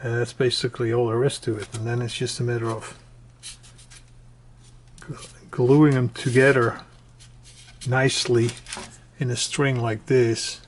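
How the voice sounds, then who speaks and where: A man presenting an informal hands-on do-it-yourself tutorial, explaining step by step in a halting way and repeating his instructions. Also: mid-range voice, level tone. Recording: clearly noisy home recording with clicks.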